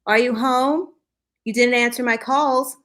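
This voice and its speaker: A woman doing a loud, sugary Korean mom. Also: mid-range voice, very animated, drawn-out words, husky, pitched above the performer's own voice, heavily acted. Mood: sweet calling.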